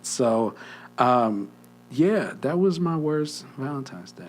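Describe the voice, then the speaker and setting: A man's voice: mid-range voice, expressive, takes it slowly, a little hiss or hum. A young man co-hosting a podcast, telling a story in a casual chat, often dropping to a near whisper and laughing. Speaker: podcast co-host.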